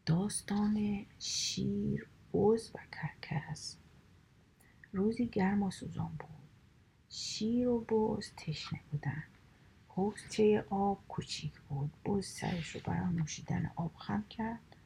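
A woman whispers her way through animal fables in an unhurried, even hush. Her voice is very breathy and husky, with a gravelly fry and a dark, mellow color. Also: mid-range voice, poor audio. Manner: soft, steady whisper. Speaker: storyteller. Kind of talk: whispered fable reading, ASMR.

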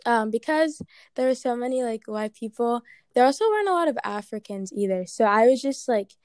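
A woman's voice: fairly high pitch, lively intonation, fairly quick, a little mellow, dry recording, clean studio sound. A woman from Ghana with an American accent, answering interview questions casually with many "like" and "um" fillers.

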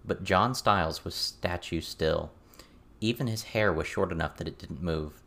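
A man reading scripted fiction as an audiobook narrator, with measured, deliberate phrasing. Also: low-pitched, gravelly, fairly bright tone.

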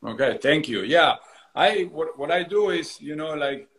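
A man's voice: fairly high pitch, expressive, measured pace, a bit bright, echoey room. A man, a movement coach explaining his work earnestly on a call, tagging sentences with "you know" and "right?". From Argentina. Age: 40s. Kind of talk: casual conversation.

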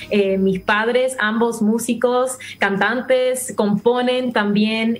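A woman with a Puerto Rican accent, a singer interviewed over a video call, grateful and lively in telling stories. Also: mid-range voice. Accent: Puerto Rican Spanish. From Puerto Rico. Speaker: singer guest.

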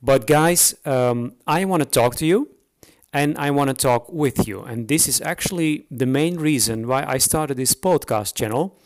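Man, Dutch accent, non-native English speaker, podcast host, unscripted, halting, many fillers and restarts, mid-pitched voice. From the Netherlands. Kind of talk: solo podcast introduction.

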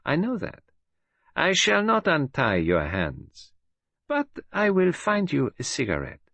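A man voicing a cold, mocking female criminal mastermind who speaks low and softly menacing. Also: huge pitch swings, unhurried, gravelly, no echo, full character voice. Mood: taunting.

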